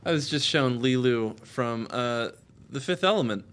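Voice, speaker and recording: A man's voice: mid-range voice, lively intonation, moderate pace, a bit bright, smooth. A man co-hosting a podcast, joking and rambling in casual chat. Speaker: podcast co-host.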